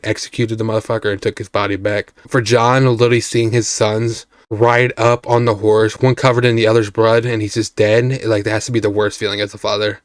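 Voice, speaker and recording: A man's voice: lowish voice, no room sound, clean studio sound. A man hosting his own reaction channel, recapping a TV episode in a loose, rambling way with lots of filler phrases, tired and his voice wearing out. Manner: rambling, many fillers like "what's called" and "basically". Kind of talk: casual spoken recap.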